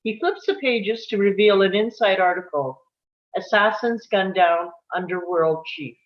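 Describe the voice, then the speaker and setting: Woman, narrator, reading stage directions, middle pitch, slightly muffled lo-fi recording. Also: a little slow. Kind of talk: scripted narration at a table read.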